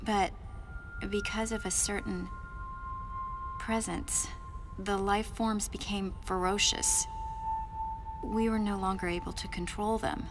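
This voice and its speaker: A woman's voice: mid-range voice, fairly even intonation. An anxious female scientist. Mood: confessional. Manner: measured, confessional explaining. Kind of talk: video game cutscene voice acting. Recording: game audio with music underneath.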